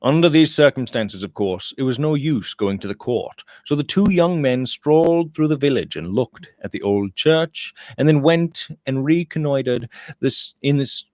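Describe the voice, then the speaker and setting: A man reads literary fiction aloud in a medium-pitched voice. His phrasing is deliberate and expressive, as an audiobook narrator's. The sound is dry, with very little room in it. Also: no room sound. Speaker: audiobook reader.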